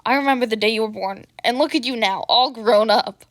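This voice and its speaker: A woman's voice: on the high side, a little fast, dry recording, clean studio sound, close to an everyday voice. A woman voicing a doting, playful father who soothes and fawns over the children in an audio fan drama. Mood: fond gushing. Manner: fawning, soothing.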